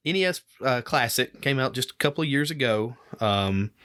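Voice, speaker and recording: A man's voice: medium pitch, animated, normal speed, fairly bright tone, smooth. A man from Alabama, co-hosting a casual retro game podcast, rambling and restarting his sentences. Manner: rambling, restarts often, chuckles. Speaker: podcast co-host.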